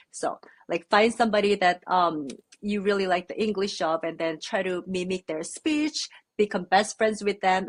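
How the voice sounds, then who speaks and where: Korean woman chatting in an interview. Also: lowish voice. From South Korea. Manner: relaxed, thoughtful, frequent fillers. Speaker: podcast guest. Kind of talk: casual interview chat.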